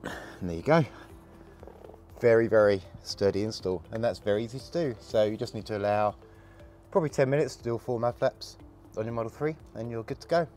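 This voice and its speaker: A man with a gravelly voice from a cold, casually talking through a hands-on installation while he works. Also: fairly low pitch, a little fast, faint music behind. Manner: relaxed, chatty, talks while working with hands. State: hoarse from a cold. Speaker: product installer demonstrating. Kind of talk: casual how-to demonstration.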